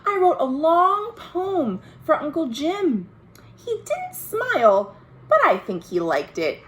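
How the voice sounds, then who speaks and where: A shy but eager young girl read by a woman shares affectionate storybook letters from the 1930s. The voice is fairly high and very slow, lingering on phrases with big rises and falls, over faint noise. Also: huge pitch swings, fairly bright tone, exaggerated voice. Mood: fond, eager. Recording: home video with faint background noise.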